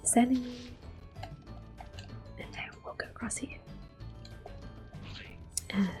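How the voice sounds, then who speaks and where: A woman in soft, unhurried whispers, commenting on her gameplay as an ASMR gamer. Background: soft game music and controller clicks.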